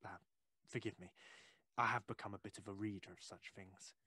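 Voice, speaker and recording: A male actor playing a courteous, inquisitive Victorian gentleman in an audio drama, hesitant and effusive with old-fashioned phrasing. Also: on the low side, fairly even intonation, fairly quick, husky, dry recording, clean studio sound, exaggerated voice. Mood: chatty, apologetic.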